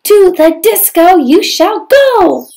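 A woman's voice: very high-pitched, sing-song, slow pace, creaky, a little mellow, voice pushed up, heavily acted. A woman voicing a bungling little fairy godmother from a children's storybook, chanting her spells emphatically. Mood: grand, incanting. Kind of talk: children's storybook read-aloud.

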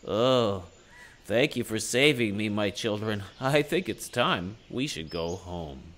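Father spider voiced by a man, kindly, grateful, musing, measured slow delivery, storybook puppet show. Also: lowish voice, expressive, fairly bright tone.

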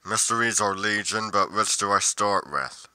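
A man playing a brooding, solitary monster investigator in a roleplay monologue, musing deliberately with pauses mid-sentence. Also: fairly low pitch, pushed and bright, clean tone, no echo. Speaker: roleplay performer.

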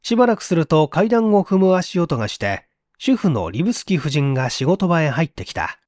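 A man's voice: fairly high pitch, sing-song, normal speed, a little mellow, no room sound, clean studio sound. A man reading a story as an audiobook narrator in a dramatic, scripted style.

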